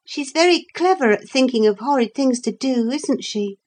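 A woman voicing an earnest, excited young boy hero in a storybook reading. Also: sits high, normal speed, voice pushed up. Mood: confiding, sheepish. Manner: urgent, excited.

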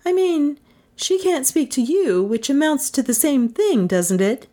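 Give this one a high, plaintive pitch: a woman narrator playing a flustered young teacher in a storybook reading. She is indignant and exclaims readily, sounding easily rattled. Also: lively intonation, a little slow, dark tone.

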